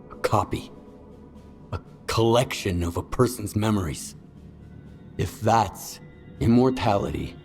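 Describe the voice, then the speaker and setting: A blunt, cynical survivor in a game cutscene, his voice on the low side. He talks tersely and gravely, wary of whoever he faces, over faint music. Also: darkish tone. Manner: terse, grave, matter-of-fact. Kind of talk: dramatic video game cutscene acting.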